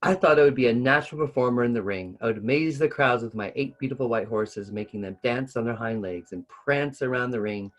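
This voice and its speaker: A man's voice: medium pitch, fairly quick, few pauses, natural voice. Man reading as a kindly senior seamstress. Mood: wistful. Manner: kind, reminiscing. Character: kindly senior circus seamstress woman, wistful, helpful.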